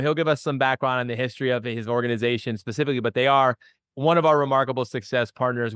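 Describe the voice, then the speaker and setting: A man's voice: mid-range voice, a bit bright, smooth. This is a man hosting an interview podcast in a studio. He talks with eager enthusiasm and loose, chatty phrasing. The room is dry and close, with no echo at all. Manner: enthusiastic, conversational with many fillers. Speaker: podcast host, chiropractor.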